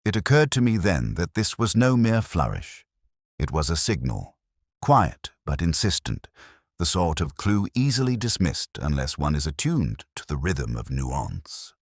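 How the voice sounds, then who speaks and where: Male actor, cerebral detective, extremely low voice, contemplative scripted mystery narration, measured literary delivery, dry close sound. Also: very animated, no room sound, full character voice.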